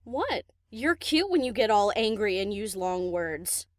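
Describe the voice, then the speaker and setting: A woman's voice: mid-range voice, very animated, unhurried, no echo, studio quality. A woman acting a cheeky, teasing young DJ pony in a fan audio drama, flippant and casual.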